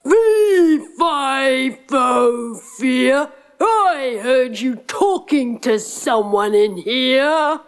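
A woman voicing an evil giant magician who speaks slowly and with menace. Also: animated, clean tone, full character voice. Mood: menacing. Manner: slow, booming, menacing. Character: huge evil male giant magician, gruff.

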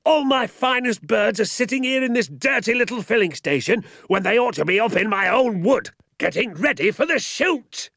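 A man's voice: lowish voice, fairly quick, creaky, few pauses, husky, no room sound, clean studio sound, exaggerated voice. A man doing a furious, shrieking rich landowner. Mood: outraged. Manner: shouting, ranting, insulting.

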